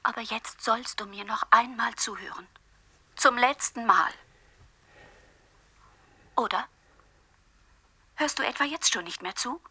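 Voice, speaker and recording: Jilted lover, bright and breathy. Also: female voice, a little high, a little slow, pauses often, no room sound, exaggerated voice. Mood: bitter, hurt. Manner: reproachful, wounded, intense, dropping into breathy whispers. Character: jilted, hurt woman pleading with her former lover on cassette. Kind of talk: radio drama monologue.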